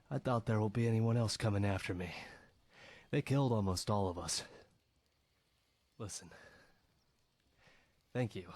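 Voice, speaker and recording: A man voicing a wounded rebel fighter in a video game, weary, strained and halting, with a wry, loyal temper. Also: lowish voice, a little mellow, no room sound, natural voice. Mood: grateful, earnest. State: injured, in pain. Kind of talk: video game character dialogue.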